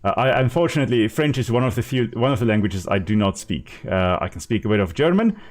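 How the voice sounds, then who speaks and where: A man's voice: on the low side, animated, fast. A man with a South African accent, a native Afrikaans speaker, chatting casually and explaining as he plays a game on a live stream.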